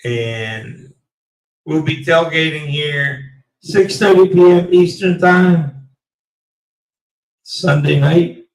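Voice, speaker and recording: A man closing out his live stream in a rambling, halting way, his voice going raspy. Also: a little high, reverberant, far from the mic, low-quality recording. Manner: rambling, slow and halting with many fillers. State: voice getting raspy. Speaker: livestream host. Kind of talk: casual live stream sign-off.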